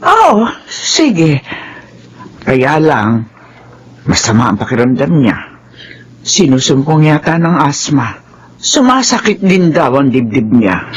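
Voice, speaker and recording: A woman playing a concerned older grandmother in a Filipino radio drama, with a husky voice. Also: low-pitched, huge pitch swings, takes it slowly, pauses often, highs cut off, exaggerated voice. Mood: kindly, concerned. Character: concerned, talkative old grandmother.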